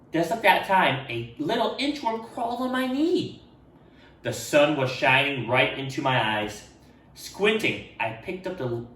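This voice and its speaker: Animated man reading to children, roomy sound. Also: medium pitch. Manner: expressive, addresses children directly, acts out dialogue. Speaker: read-aloud storyteller for children. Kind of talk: animated picture-book read-aloud with asides. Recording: roomy echo, distant microphone.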